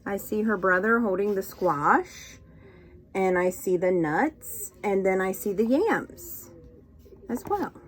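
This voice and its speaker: Lady reading picture books to little kids, super slow and sing-songy, voice goes up and down a lot, asks questions like a teacher. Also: medium pitch, poor audio. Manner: sing-song, expressive, questioning, encouraging. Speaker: children's storytime reader and teacher. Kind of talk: interactive read-aloud to young children.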